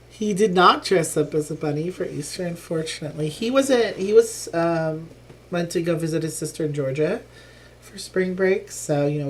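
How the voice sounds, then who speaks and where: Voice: mid-range voice, vocal fry, slight background noise. A streamer casually talking through a live board game playthrough, thinking aloud with frequent ums and a lingering cough after being ill.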